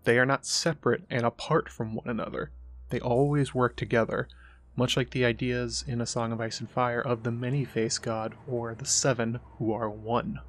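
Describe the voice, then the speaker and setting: A man's voice: medium pitch, little pitch movement, normal speed. A man presenting scripted explanatory narration as the host.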